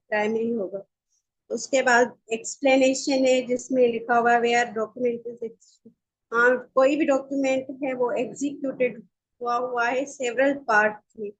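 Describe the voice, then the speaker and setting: A woman's voice: sits high, husky, low-quality recording. A woman lecturing informally, reading out passages and explaining them, mixing in English terms and often checking that listeners follow. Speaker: law lecturer.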